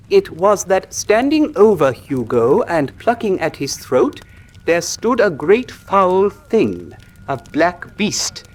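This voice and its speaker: An actor playing an earnest country doctor who tells a grim tale gravely and articulately in a radio drama. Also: male voice, high-pitched, a little hiss or hum, heavily acted. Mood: grave, dramatic.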